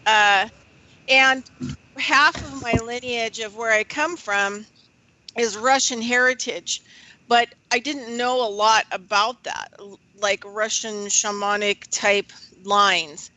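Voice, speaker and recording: A woman hosting a radio show, talking reflectively and hesitantly with many pauses. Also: a little high, steady tone, sharp tone, low-quality recording.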